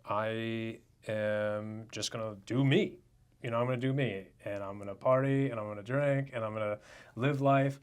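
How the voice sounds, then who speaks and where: A man's voice: lowish voice, steady tone, moderate pace, clear, resonant tone. A young man from Rhode Island co-hosting a podcast, talking in a loose, joking, unfiltered way. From Rhode Island, USA. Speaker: podcast co-host. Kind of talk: casual chat.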